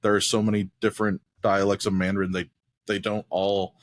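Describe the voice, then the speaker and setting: A man's voice: on the low side, little pitch movement, a little fast, no echo, clean studio sound. A man co-hosting a podcast in casual chat, explaining his points at length and often checking in with "right?". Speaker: podcast co-host.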